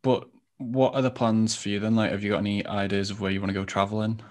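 A young man from the United Kingdom hosting a podcast, chatting in a relaxed way with lots of fillers over a headset mic. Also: fairly low pitch, fast talker. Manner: relaxed, filler-heavy, says "like" a lot. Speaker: podcast host. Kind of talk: casual video-call chat. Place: home room indoors.

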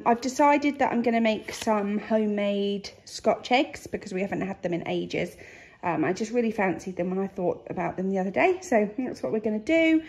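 Chatty mum, higher voice. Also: female voice. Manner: chatty, relaxed, with frequent ums. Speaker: vlogger showing a grocery haul. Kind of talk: casual chat to camera. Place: kitchen, indoors.

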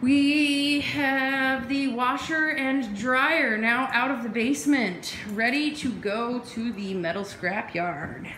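A young woman, chatting excitedly as she films a walkthrough of a house she is renovating, speaking into her phone. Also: fairly high pitch, slow pace, few pauses, slight background noise, low-quality recording. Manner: chatty, excitable, jumps between topics. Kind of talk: casual walkthrough vlog. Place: empty house and yard, indoors and outdoors. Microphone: phone held while filming.

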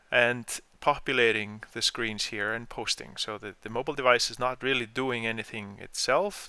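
A man explaining software step by step in an informal screen-share tutorial, as the trainer. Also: medium pitch, no echo.

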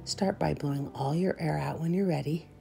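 A woman leading a guided meditation in a soft, soothing, unhurried voice that turns breathy at the ends of phrases. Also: deep voice. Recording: meditation music behind voice. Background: soft meditation music.

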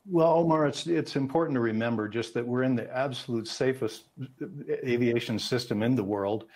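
Older male analyst, American accent, sixties, husky mid-pitched voice, expert news commentary, video call from a room. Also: normal speed, darkish tone. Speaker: aviation safety analyst.

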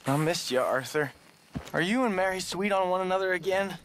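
A man's voice: high voice, fairly quick, a little hiss or hum, low-quality recording. An actor playing a naive, wounded young man in a video game, speaking in an earnest, plaintive, defensive way. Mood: subdued. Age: young adult. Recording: loud music and horse hooves behind. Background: music, horse hooves.

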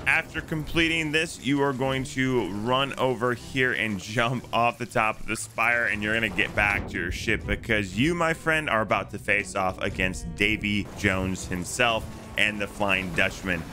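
Low-pitched man narrating a game walkthrough. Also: a little slow, hardly any pauses. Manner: casual, instructive. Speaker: game guide video narrator. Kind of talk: walkthrough commentary over gameplay. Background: music.

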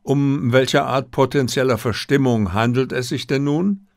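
Casting: male reader as keen male detective, brisk, probing, self-assured, raised pitch, audiobook dialogue. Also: no echo, studio quality, close to an everyday voice.

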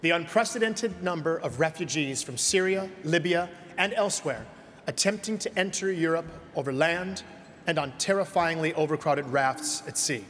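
A man's voice: on the high side, a bit bright. A man presenting an award in a formal, announcing manner from a podium in a large ceremony hall. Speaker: ceremony host. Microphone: podium microphone. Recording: long hall reverb, faint noise.

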